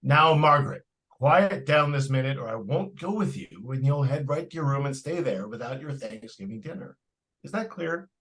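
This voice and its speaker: A man's voice: mid-range voice, expressive, normal speed, a bit bright, lightly acted, rough recording. A man playing an indulgent but firm father in old-time radio drama, patronizing and a little stammering. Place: live stage radio-play performance.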